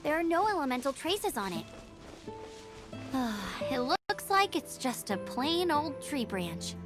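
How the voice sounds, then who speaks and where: A woman's voice: high-pitched, big pitch swings, slow pace, full character voice. A woman voicing a confident, eager young female knight scout in a video game. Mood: focused, curious. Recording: loud game music behind.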